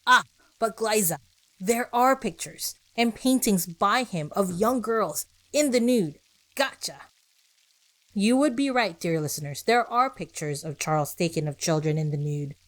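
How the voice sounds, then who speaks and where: A woman's voice: medium pitch, expressive, a little slow. A woman podcast host reading scripted narration with personal asides, speaking conversationally and directly to her listeners.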